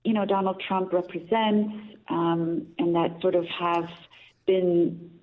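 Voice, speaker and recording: A woman's voice: fairly low pitch, steady tone, slow pace. Austrian woman on a tinny phone line. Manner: reflective, frequent restarts and "um", earnest. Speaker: guest. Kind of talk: radio interview, thoughtful opinion.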